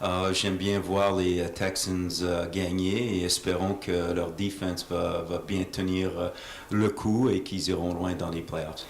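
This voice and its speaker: A man interpreting interview answers in a halting way, often searching for his words. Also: deep voice, little pitch movement. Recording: echoey room with faint noise.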